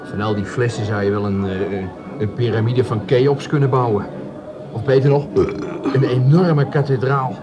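An actor playing a dreamy, penniless plumber in a radio play, given to stammering rhapsodies and self-mockery. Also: male voice, medium pitch, steady tone, normal speed, over music, close to an everyday voice. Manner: stammering, rhapsodic, repeats words. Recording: café crowd behind the voice.

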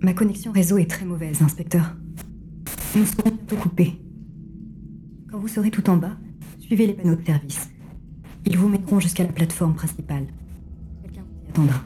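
A woman playing a cool, composed, controlling guide who narrates an audio drama in a measured, detached, formal manner. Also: fairly low pitch, dark tone, a little hiss or hum, close to an everyday voice. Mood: calm, commanding.

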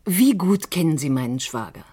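An actress playing a troubled, grieving woman in a German radio crime drama, confiding intensely and deliberately in a husky voice. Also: low-pitched, expressive, warm tone. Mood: grave, urgent. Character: troubled stage actress, grieving sister convinced of murder.

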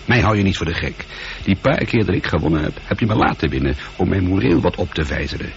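Gravelly, moody space colonel in his forties. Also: low-pitched, animated, fast. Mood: bored, grumbling. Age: 40s. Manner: sardonic, moody, grumbling. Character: bored, irritable, teasing 42-year-old space colonel and pilot. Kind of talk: radio drama acting. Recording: dull, noisy old radio recording.

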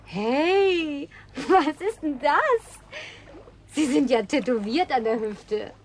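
An actress playing a bossy, playful, high-spirited woman in a German radio play, with a commanding, teasing manner. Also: lively intonation, takes it slowly, slight background noise. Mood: teasing, curious.

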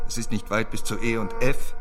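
An actor playing a stern, guarded professor and father in a radio drama, speaking with deliberate authority. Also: lowish voice, steady tone, over music, lightly acted. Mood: stern, emphatic.

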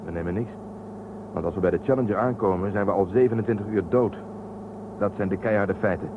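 A man's voice: lowish voice, fast, close to an everyday voice. An actor playing Telsen, a cautious, methodical man on a space crew in a radio play. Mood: grim. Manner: methodical, calculating. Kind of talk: radio drama acting. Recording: muffled phone-like sound with loud hiss.

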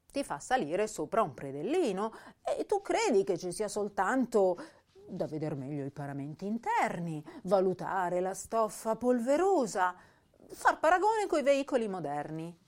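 An actress playing an intense, sensitive young woman poet, declaiming verse in a measured, lyrical way for a radio drama. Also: mid-range voice. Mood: playful irony. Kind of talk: dramatic poetry recital in a stage-style radio drama.

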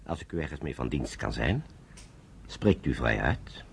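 An actor playing a courteous, gruff senior general in a Dutch radio drama. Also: male voice, extremely low pitch, very animated, moderate pace, background noise, heavily acted.

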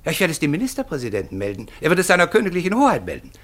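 An actor playing a ponderous, dignified government minister in a German radio play, hesitant and pompous. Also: male voice, a little high, wildly swooping pitch, rapid-fire, fairly bright tone, exaggerated voice. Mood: flattered surprise.

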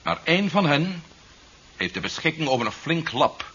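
An actor playing a cunning, methodical security agent in a 1970s radio drama, reasoning with assurance. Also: male voice, a little high, sing-song, normal speed. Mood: methodical, assured. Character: cunning male Martian-turned security agent hunting fugitives. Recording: muffled, hissy 1970s radio recording.

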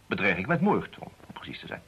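A private detective in a radio drama. His husky voice moves freely up and down while staying businesslike and dryly wry. The recording sounds muffled and lo-fi. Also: fairly low pitch, sing-song, quick pace, full character voice. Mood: thoughtful. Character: dry, methodical male private detective. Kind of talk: radio play acting. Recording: dull lo-fi old radio sound.

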